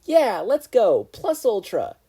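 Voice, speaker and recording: A performer voicing a bubbly, determined teenage girl who is excitable and eager. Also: mid-range voice, slow pace, breathy edge, pitched above the performer's own voice, exaggerated voice. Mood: fired-up.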